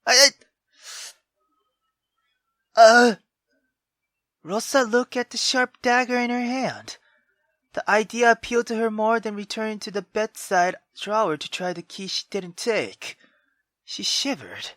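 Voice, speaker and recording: A woman reading a visual novel aloud as a let's player, expressive and dramatic, dropping into hushed asides. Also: on the high side, a little slow, long pauses, no echo, clean studio sound. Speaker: video game let's player.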